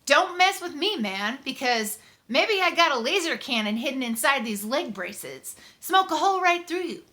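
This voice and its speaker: A woman's voice: high-pitched, big pitch swings, normal speed, pushed and bright, exaggerated voice. A woman voicing a small fierce boy who plays at being a menacing robot, cocky and full of mechanical noises, in a storybook reading. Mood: playful menace.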